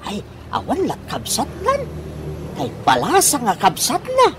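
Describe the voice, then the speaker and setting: A woman's voice: fairly high pitch, huge pitch swings, measured pace, noisy background. A woman playing an old man's teasing male buddy in a radio comedy drama, with an exaggerated sing-song delivery. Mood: teasing, amused.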